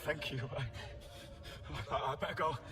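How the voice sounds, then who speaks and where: Highish, frightened male captive. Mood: shaken. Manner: halting, stammering, pleading. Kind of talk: video game character acting. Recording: distant, under music.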